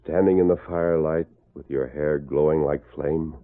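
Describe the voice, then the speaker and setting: Upright cowboy lawman hero, low voice, old radio show, dull n hissy. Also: fairly even intonation, moderate pace. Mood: kindly, assured. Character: commanding, upright masked western lawman hero. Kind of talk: 1940s radio drama acting. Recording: muffled, noisy old radio broadcast.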